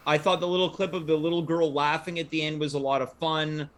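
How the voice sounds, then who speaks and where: Male host, notably high voice, relaxed conversational panel delivery. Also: fast talker. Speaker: talk show host. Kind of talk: casual panel chat.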